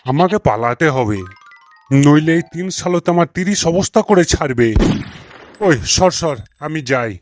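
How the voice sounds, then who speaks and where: A man's voice: fairly high pitch, lively intonation, normal speed, soft background music, close to an everyday voice. A man with a West Bengal accent playing an irritable middle-aged village uncle who grumbles and scolds sarcastically in a comedy cartoon. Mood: bitter, fed up.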